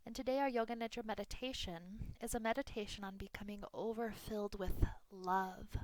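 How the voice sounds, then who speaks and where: A woman leads a guided meditation as a yoga nidra teacher. Her medium-pitched voice is soothing, with unhurried phrases and room left between them. The recording is close and dry, with very little room sound. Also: fairly even intonation, dry recording, poor audio.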